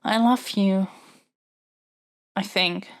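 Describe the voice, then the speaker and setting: Halting, slow-spoken lovestruck princess, acted by a woman. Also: mid-range voice, a little hiss or hum. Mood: shy, tender. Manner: halting, hesitant, stammering delivery. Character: lovestruck young princess, earnest, flustered, pleading with her captor. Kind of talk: audio roleplay acting, intimate monologue to the listener.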